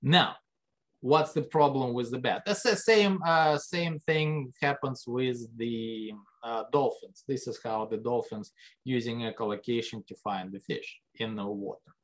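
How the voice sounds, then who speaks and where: A man giving a biology lecture, talking conversationally and putting rhetorical questions to the class. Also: unhurried. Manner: lively pitch jumps for emphasis. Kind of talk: recorded classroom lecture over slides.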